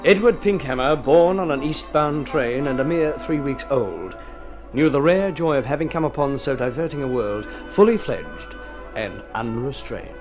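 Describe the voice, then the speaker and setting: An urbane storyteller played by a radio actor narrates over clear music. His voice is expressive and wry, with a literary, theatrical polish from old radio drama. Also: medium pitch, moderate pace, lightly acted. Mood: wry, grand. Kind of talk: scripted mid-century radio drama narration.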